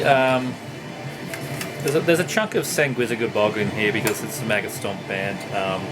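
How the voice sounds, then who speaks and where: Low-voiced man reviewing over music. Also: low-quality recording. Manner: enthusiastic, rambling. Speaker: music reviewer presenting a video. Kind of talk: casual, unscripted review chat.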